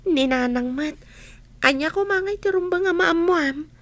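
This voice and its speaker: A woman's voice: extremely high pitch, normal speed, fairly bright tone. A woman playing a naive, self-doubting schoolgirl who gets teased, in radio drama style. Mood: defensive. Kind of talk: Ilocano radio drama acting.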